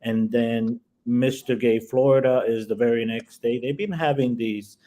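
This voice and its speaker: A man's voice: medium pitch, steady tone, measured pace, dark tone. A man hosting a casual panel chat who rambles along with lots of ums and restarts. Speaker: host.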